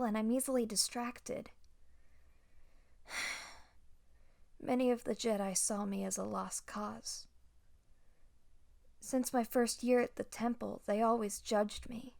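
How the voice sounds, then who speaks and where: Woman playing a high-voiced, timid young Jedi fugitive. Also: gravelly. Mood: hesitant, wistful. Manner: soft, hesitant, halting delivery with pauses. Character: timid, grieving young female Jedi Padawan fugitive, self-doubting and gentle. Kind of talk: audio roleplay acting, intimate ASMR-style monologue.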